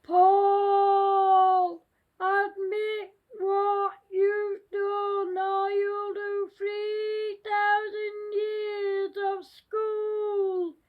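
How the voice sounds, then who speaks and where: A child plays a menacing storybook phantom who accuses and threatens. The voice is very high and extremely slow, stretching words into a sing-song. The recording is dull and muffled. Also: completely flat delivery, exaggerated voice. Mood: menacing, accusing. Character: menacing ghostly scary voice accusing schoolchildren.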